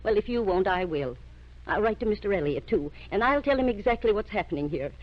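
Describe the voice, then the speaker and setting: A woman's voice: medium pitch, expressive, brisk, lightly acted. Actress as insistent, emotional radio-melodrama woman. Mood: indignant, pleading. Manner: dramatic, insistent. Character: emotional, reproachful woman pleading for a dying girl. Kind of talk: old-time radio melodrama acting. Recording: dull vintage radio recording.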